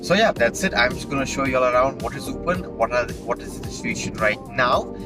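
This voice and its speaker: A hoarse man with a raspy voice, chatting casually as a travel vlogger while driving and walking on a beach, rambling with fillers and correcting himself. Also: mid-range voice, expressive, fairly quick, music in the background. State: hoarse after a night out. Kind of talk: casual vlog tour narration. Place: driving a car, then outdoors on a beach.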